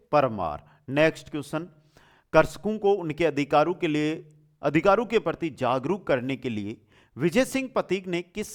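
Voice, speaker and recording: A man runs a quiz-style exam lesson. His voice sits on the high side and moves up and down in a very lively way. He puts questions emphatically and repeats the key words so they stick. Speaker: exam coaching teacher.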